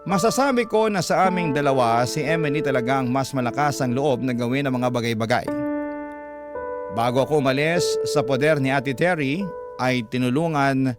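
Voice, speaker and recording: A man narrating a listener's letter in the first person in a classic radio style, earnest and measured. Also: mid-range voice. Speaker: storyteller reading a listener's letter. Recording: loud background music.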